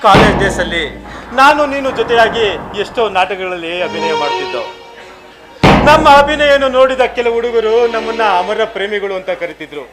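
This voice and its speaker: An actor playing a heartbroken young man who laments his lost love in melodramatic, pleading, poetic speech, over loud background music. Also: high voice, lively intonation, brisk. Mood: reproachful. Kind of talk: audio drama acting.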